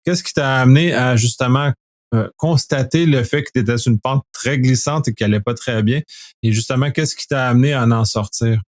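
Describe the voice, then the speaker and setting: A man's voice: medium pitch, brisk, no room sound, studio quality. Man hosting a podcast. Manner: loose, restarting phrases while setting up questions. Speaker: podcast host. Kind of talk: conversational interview.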